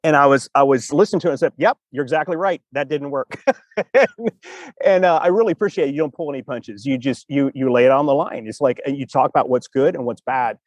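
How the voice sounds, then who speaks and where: A man hosting a casual podcast interview in an informal, expressive, rambling way. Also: mid-range voice, quick pace, dry recording. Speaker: podcast host.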